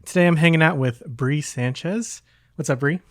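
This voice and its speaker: A man's voice: a little high, normal speed, a bit bright, no echo, studio quality. A man hosting a podcast in a casual, conversational way. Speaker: podcast host.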